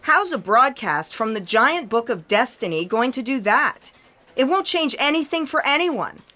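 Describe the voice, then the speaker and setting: Bossy female editor-in-chief for sci-fi audio comedy: highish, bright, theatrical. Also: very animated, moderate pace, full character voice. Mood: exasperated. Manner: imperious, theatrical.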